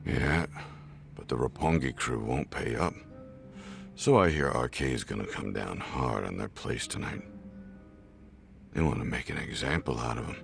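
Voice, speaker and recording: A man voicing a sly, smug, streetwise underworld informant in a video game, his voice gravelly and insinuating. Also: heavily acted. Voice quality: very deep, gravelly. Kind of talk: video game cutscene acting.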